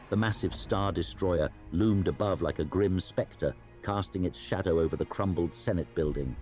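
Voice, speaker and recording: A man narrating an audio drama in a solemn, measured and theatrical way, as scripted storytelling. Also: deep voice, a little mellow. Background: music under the voice.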